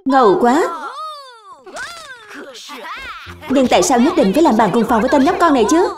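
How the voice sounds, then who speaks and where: High-pitched, excitable cartoon youngster played by a woman. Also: racing, music in the background. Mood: excited, bickering. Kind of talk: animated cartoon dub, several characters under one speaker number.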